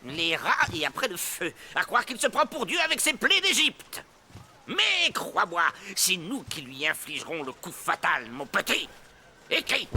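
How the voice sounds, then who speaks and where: High, wildly animated crusading newspaperman. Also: exaggerated voice. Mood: defiant, rousing. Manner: theatrical, emphatic declaiming. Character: fiery, indignant crusading newspaper man, fatherly. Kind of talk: French cartoon voice acting.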